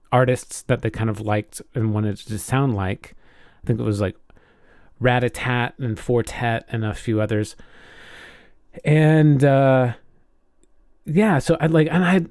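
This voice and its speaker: A man's voice: medium pitch, darkish tone, no room sound, studio quality. A man co-hosting a podcast in casual chat, telling things informally and hesitantly as he goes. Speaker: podcast co-host.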